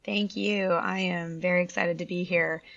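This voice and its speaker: A woman's voice: fairly low pitch, fairly even intonation, normal speed, creaky, a bit bright, no room sound, lo-fi sound. A woman in her thirties from Canada, a pediatric orthopedic surgeon, telling her career story in an enthusiastic, chatty way. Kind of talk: interview answers.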